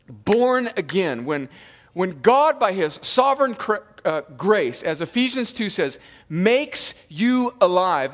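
Male pastor preaching in a reverberant hall. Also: on the high side. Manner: conversational preaching that rises to emphatic peaks. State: has a slight cold, sniffling. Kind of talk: sermon.

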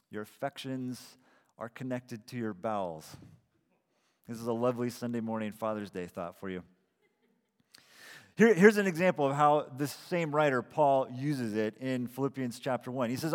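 A man of about forty giving a casual, self-deprecating sermon as pastor, with frequent restarts. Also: medium pitch. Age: 40s. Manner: conversational, self-deprecating preaching with repeated starts. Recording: long hall reverb.

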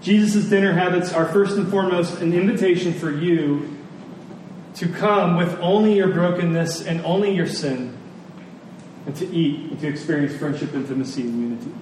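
Echoey, higher-voiced man preaching a sermon. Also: darkish tone. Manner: earnest, deliberate, repeats phrases for emphasis. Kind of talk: sermon to a congregation. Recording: very echoey room, distant mic, faint noise.

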